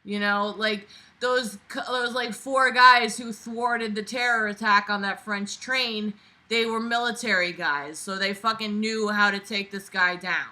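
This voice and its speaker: Bright, sharp, sarcastic woman reading aloud. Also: medium pitch, fairly even intonation, measured pace, rough recording. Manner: sarcastic, exasperated, foul-mouthed. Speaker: online book reader and commentator.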